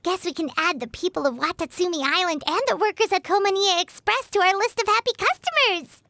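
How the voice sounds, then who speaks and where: A woman voicing a tiny, cute, cheeky fairy companion in a video game, with a squeaky childlike voice. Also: a little fast, sharp tone, dry recording, heavily acted. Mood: cheerful. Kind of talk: English video game voice acting.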